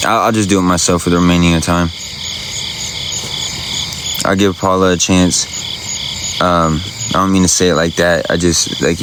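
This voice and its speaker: A man with a very low voice chats to his viewers in a loose, rambling, slangy way. He is streaming from a phone outdoors at night, with crickets and insects loud behind him. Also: noisy background. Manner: laid-back, rambling, slangy. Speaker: livestreamer. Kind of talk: casual livestream chat answering viewers.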